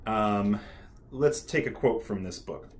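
A man's voice: on the low side, slow. A young man hosting a history video, talking casually to camera in a small room, with plenty of ums and an enthusiastic, nerdy tone. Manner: relaxed, many ums and uhs, nerdy enthusiasm. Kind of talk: casual educational talk to camera. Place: small room indoors, dark backdrop.

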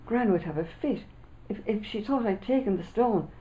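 A woman's voice: medium pitch, lively intonation, moderate pace, warm tone, pitched above the performer's own voice, lightly acted, poor audio. An older woman reading the lines of a dramatic, pleading schoolboy in a children's storybook. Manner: pleading, playful.

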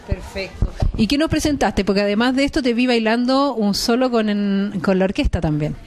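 A woman's voice: medium pitch, lively intonation, a little fast, a little mellow. A Chilean woman in her thirties interviewing people on location with a handheld microphone. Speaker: reporter.

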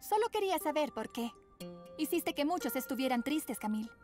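Earnest, emphatic young cartoon girl, extremely high and animated, over music. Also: fairly quick. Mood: concerned. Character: earnest, indignant young redheaded cartoon girl, loyal friend. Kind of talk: animated children's cartoon dub. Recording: music behind the voice.

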